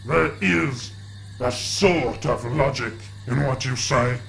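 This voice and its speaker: A man playing a cold, menacing alien entity in an audio drama, speaking formally and deliberately. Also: mid-range voice, gravelly, a bit bright, a little hiss or hum. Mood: cold, imperious.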